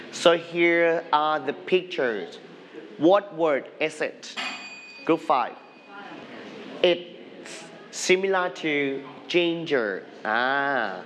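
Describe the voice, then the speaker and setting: A male teacher speaking slowly and deliberately, his voice a little higher than most men's. He repeats each question and has the class say words after him, with a faint room hiss behind him in the studio classroom. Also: fairly bright tone. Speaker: English teacher. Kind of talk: classroom lesson broadcast on TV.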